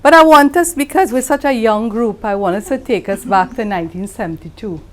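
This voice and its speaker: A woman giving a ceremonial tribute speech, speaking emphatically with deliberate pauses and repetition. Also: fairly high pitch.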